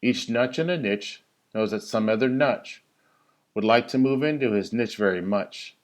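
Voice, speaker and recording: A man who works as a teacher reads a children's story in rhyming verse, with an expressive, sing-song delivery that stresses key words. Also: medium pitch, moderate pace. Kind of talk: animated storybook read-aloud of rhyming verse.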